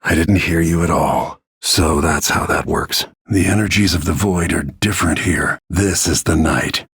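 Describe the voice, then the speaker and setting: A grim weary middle-aged assassin for a video game, really low husky voice, terse and restrained. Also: male voice, unhurried, a bit bright, no room sound, full character voice. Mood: grim, brooding. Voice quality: gravelly, husky. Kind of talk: scripted game voice lines, short dramatic remarks.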